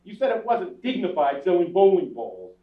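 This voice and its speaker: A man's voice: high voice, huge pitch swings, normal speed, far from the mic. An actor playing a henpecked, wisecracking husband in his forties, sardonic and exasperated, in old-time radio comedy style. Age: middle-aged. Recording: dull, echoey old radio recording, off-mic.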